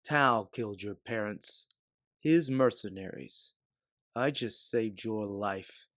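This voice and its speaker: Man as aged mercenary, calm, self-justifying, measured delivery, dry recording. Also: lowish voice, dry recording. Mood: calm, pleading. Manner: measured, explaining calmly.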